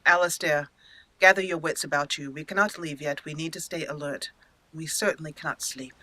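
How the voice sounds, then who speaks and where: A woman hosting a let's play, narrating it as an expressive storyteller and speaking for her character. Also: low-pitched, brisk, dry recording. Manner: expressive storyteller, acting out lines. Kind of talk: roleplay storytelling over gameplay.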